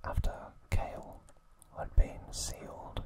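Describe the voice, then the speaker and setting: Slow, breathy ASMR whisper. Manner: soft, gentle, unhurried storytelling. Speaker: ASMR video host. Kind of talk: whispered ASMR trivia recap.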